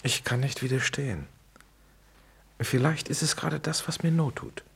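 A man playing a weary, lovestruck writer in a stage drama, slow and musing, then pleading. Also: mid-range voice, creaky, fairly bright tone, slightly rough, full character voice. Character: weary famous writer, smitten man, Boris.

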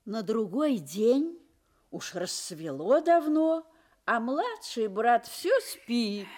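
A woman storyteller narrating a fairy tale. Her voice is fairly high and very animated, and she unfolds each phrase slowly.

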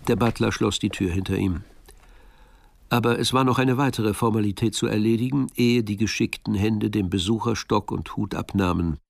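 A man narrating a radio drama, telling the story in a measured, precise literary style. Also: fairly low pitch, vocal fry, no echo, studio quality. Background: music.

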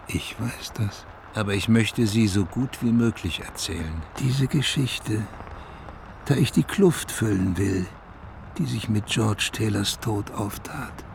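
Low gravelly brooding man. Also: darkish tone, a little hiss or hum. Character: reflective male narrator from a dying coal town, brooding. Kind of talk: radio play monologue, literary narration.

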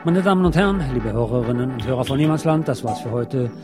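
A man presenting a scripted film review as a radio host, in a measured, explanatory way. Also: medium pitch, lively intonation, music in the background.

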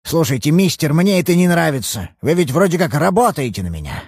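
A man voicing a self-pitying, hot-tempered elderly father with a shrill, quavering voice. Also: very animated, fairly quick, darkish tone, husky, dry recording, clean studio sound, exaggerated voice. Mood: hostile.

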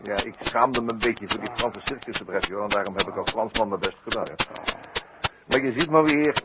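Wry, theatrical police detective male actor, deliberate and mocking, vintage radio drama, heavy noise and clicks. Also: fairly low pitch, natural voice. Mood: relaxed. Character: sly, mocking male French police detective in circus mystery. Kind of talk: 1960s Dutch radio play acting. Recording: very noisy, clicky 1960s radio recording.

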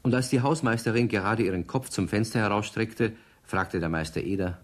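A man narrating a children's radio play in a measured storytelling manner. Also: lowish voice, lightly acted.

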